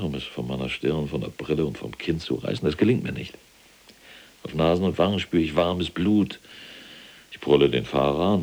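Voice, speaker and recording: Imprisoned SS general, cold and self-righteous,, extremely low gravelly voice, deliberate radio drama delivery. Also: male voice, a little mellow, heavily acted. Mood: agitated, disgusted. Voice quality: very deep, gravelly, husky. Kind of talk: German radio play acting, monologue.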